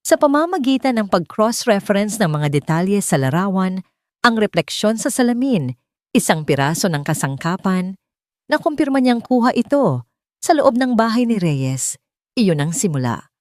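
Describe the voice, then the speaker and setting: A woman's voice: medium pitch, big pitch swings, moderate pace, dry recording, studio quality. A woman with a Filipino accent reading a dramatic romance story as a scripted audiobook narration.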